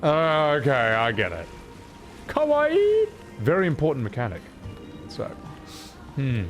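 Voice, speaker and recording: A man's voice: mid-range voice, animated, unhurried. A man streaming a video game with casual, blokey, sweary chat. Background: game music.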